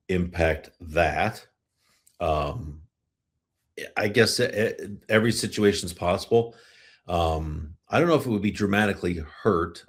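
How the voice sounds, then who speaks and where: A middle-aged man hosting a sports podcast, talking hockey casually and thinking aloud as he goes. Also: low voice. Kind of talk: casual hockey talk and question answering.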